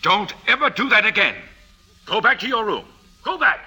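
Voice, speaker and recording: A proud father in a 1950s radio play, with a high voice that swings widely. He speaks emphatically, with a sharp, forceful edge. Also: very animated, moderate pace, husky, heavily acted. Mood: angry. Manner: declamatory, emphatic. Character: proud father, jovial in company, stern with his daughter. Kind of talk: 1950s radio drama acting.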